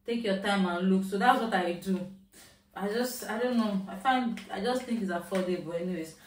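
A woman with a Yoruba-tinged Nigerian accent talks through a shopping haul at home, chatty and easy, dropping into Pidgin now and then. Her voice sits at a middle pitch. She is a little away from the mic in a roomy space. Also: normal speed, far from the mic. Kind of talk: casual chat to camera. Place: at home, indoors.

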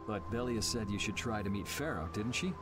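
A man's voice: fairly low pitch, fairly quick, over music, lightly acted. A man playing a laid-back but resolute young swordsman in a video game dub, blunt and casual. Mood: cool, casual. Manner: casual, unflappable. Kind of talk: dubbed anime video game acting.

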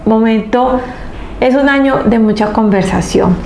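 A woman in her thirties giving a spiritual tarot reading to camera, earnest and emphatic, speaking in short phrases with pauses. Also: a little high, creaky, darkish tone. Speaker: tarot reader and host. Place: small indoor room, seated at table. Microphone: clip-on lapel mic. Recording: roomy echo with faint background noise.

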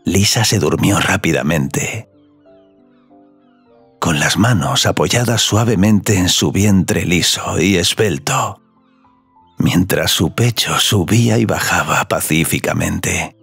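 A man narrating scripted audio fiction in a slow, sensual storytelling manner. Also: sits low, gravelly, a bit bright, breathy edge, no room sound, soft background music.

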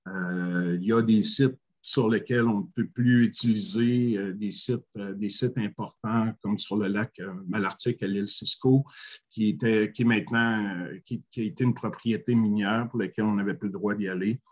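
A man's voice: on the low side, steady tone. Hesitant Quebec French man on a call. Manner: measured, many hesitant "euh" pauses. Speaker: First Nation panelist. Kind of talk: panel talk on a video call. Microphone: webcam on a video call.

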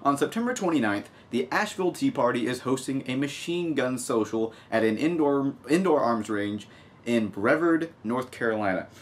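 A man's voice: medium pitch, animated, moderate pace. A young American man from Texas giving casual, sarcastic commentary as he reads out news as a video host. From Texas, United States. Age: young adult. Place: small room at a home desk, indoors.

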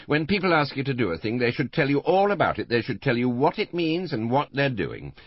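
A man's voice: medium pitch, sing-song, brisk, dry recording, highs cut off, voice pushed up. A man voicing a tipsy, indignant older woman who rambles and fumbles for words, in an audiobook. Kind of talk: audiobook character voice.

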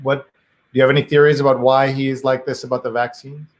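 A man's voice: mid-range voice, little pitch movement, brisk. A man hosting a live interview show over a video call, asking probing questions and reading viewer comments aloud. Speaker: host.

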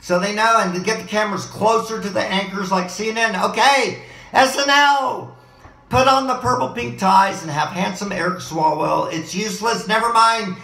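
A hoarse middle-aged man rambles eagerly from one topic to the next, his voice pitched high for a man and full of gravelly fry. His tone is bright and edgy, and the desk mic picks up a roomy echo from his living room. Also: normal speed. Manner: excitable, rambling, jumps between topics. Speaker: vlogger. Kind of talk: rambling home video monologue. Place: living room at home, indoors. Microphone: desk mic on a boom arm, webcam framing.

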